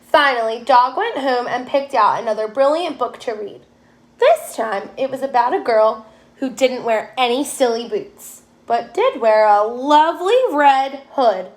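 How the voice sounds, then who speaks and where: A woman's voice: fairly high pitch, measured pace. A woman teacher reading a children's storybook aloud expressively, putting questions to her young listeners.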